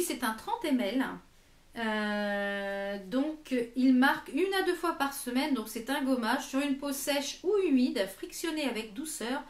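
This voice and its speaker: High-voiced woman, echoey room. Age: late 30s. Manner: chatty, hesitant, reads labels aloud. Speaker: beauty vlogger presenting a product box. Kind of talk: casual product review.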